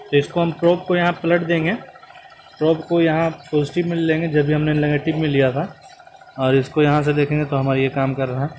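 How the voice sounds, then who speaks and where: A man's voice: a little high, flat delivery, brisk, darkish tone, a little hiss or hum. Man explaining car diagnostics while working. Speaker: car mechanic. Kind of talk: hands-on repair tutorial. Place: inside a car and at the open engine bay, outdoors.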